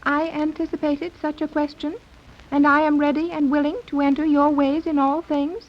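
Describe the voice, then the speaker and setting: Woman putting on a high, nasal, whining young female commander. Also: little pitch movement, normal speed, lightly acted. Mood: formal, detached. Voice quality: high-pitched, nasal whine. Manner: stilted, overly formal speech. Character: stiffly formal young female commander from hidden civilization. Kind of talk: 1930s radio serial acting. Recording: dull, faintly noisy 1930s radio recording.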